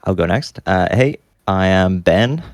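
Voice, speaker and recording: A man's voice: sits low, expressive, measured pace, dry recording, studio quality. A man introducing himself as a lead developer in an interview, hesitating and repeating words. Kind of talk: casual interview answers.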